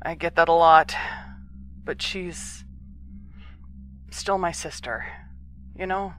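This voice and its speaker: A woman's voice: fairly low pitch, slow, a bit bright, a little hiss or hum. A woman playing an anxious, well-meaning younger brother in an audio drama. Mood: secretive, worried.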